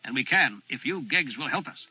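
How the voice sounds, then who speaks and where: A man voicing a gruff, calculating assassin who speaks bluntly in clipped phrases. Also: medium pitch, lively intonation, normal speed, pushed and bright, soft background music, exaggerated voice, poor audio.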